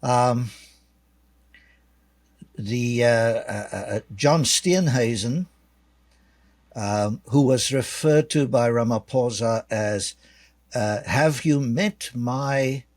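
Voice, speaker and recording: An older man from South Africa with a South African accent, a guest author answering interview questions in a measured, dryly sarcastic way with many fillers. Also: mid-range voice, a little mellow, no echo.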